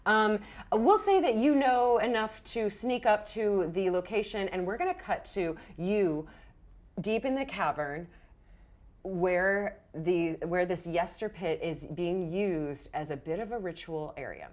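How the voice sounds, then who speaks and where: A woman's voice: mid-range voice, expressive, measured pace, darkish tone. A woman game master narrating improvised tabletop adventure in an informal, conversational, playful way.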